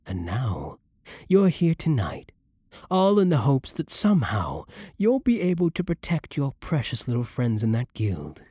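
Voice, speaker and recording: A man playing a seductive, menacing vampire lord in an ASMR roleplay, with a breathy voice and a silky, theatrical, condescending manner. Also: medium pitch, huge pitch swings, mellow, no echo, studio quality, heavily acted. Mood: condescending, coaxing. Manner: slow, theatrical, silky condescension with dropping murmurs. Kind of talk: ASMR roleplay, scripted monologue to the listener.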